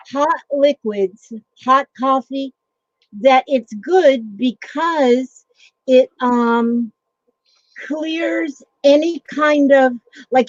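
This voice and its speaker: A woman's voice: high voice, no echo. A woman hosting a casual livestream chat, rambling along with frequent ums and greeting viewers by name. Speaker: livestream host. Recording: dull lo-fi sound.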